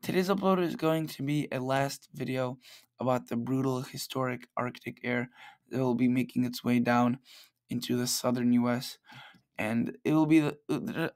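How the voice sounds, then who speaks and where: Man, slightly raspy, laid-back weather vlogger walking through forecast maps. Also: mid-range voice. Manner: chatty, loose, talks through model maps. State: slightly raspy late at night. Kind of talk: casual weather forecast vlog. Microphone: plug-in microphone.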